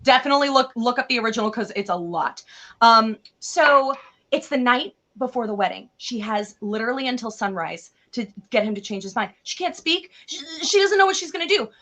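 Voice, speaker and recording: A woman retelling a tale in a casual, chatty way, with an animated, theatrical and slangy manner. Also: on the high side, a little fast. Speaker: co-host retelling fairy tales.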